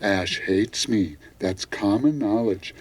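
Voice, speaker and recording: A man's voice: lowish voice, takes it slowly, breathy edge. A man playing a resigned, stubborn radio programmer in an audio drama, blunt and dismissive. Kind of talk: audio theater mystery acting.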